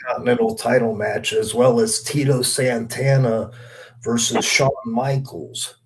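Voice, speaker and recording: A man's voice: medium pitch, steady tone, measured pace, a little hiss or hum, rough recording. A middle-aged man, a veteran wrestler, reminiscing casually and repeating himself for emphasis. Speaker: veteran wrestler, guest commentator.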